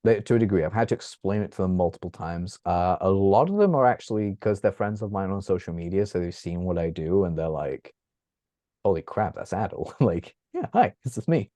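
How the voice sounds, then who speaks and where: A man, chatting as an interview guest from his bedroom at home, animated and laughing often. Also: low voice, a little fast, no echo. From England. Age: 30s. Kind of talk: casual interview chat. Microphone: video call.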